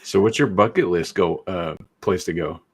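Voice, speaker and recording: A man's voice: low-pitched, normal speed, husky. A man co-hosting a podcast, speaking in a casual conversational way. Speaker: podcast co-host. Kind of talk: casual chat.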